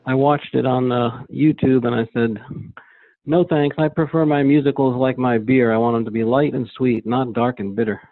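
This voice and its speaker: Man, casual chat, medium pitch, thin telephone sound, club member. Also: steady tone, moderate pace, dry recording. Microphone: phone call. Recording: tinny, narrow phone line.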